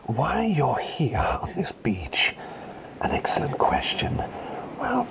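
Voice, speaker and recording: A man playing a gentle, forgetful, whimsical plague doctor in a soft ASMR roleplay, speaking in a breathy whisper with polite, hesitant rambling. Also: mid-range voice, full character voice, low-quality recording. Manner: rambling, polite, hesitant, repeats phrases softly.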